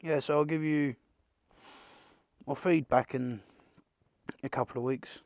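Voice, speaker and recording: A man's voice: mid-range voice, fairly even intonation, a little slow, gravelly, a little mellow, no room sound. A British man giving a casual, unscripted product unboxing, rambling and muttering asides to himself with the odd mild swear. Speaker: amateur video creator presenting an unboxing.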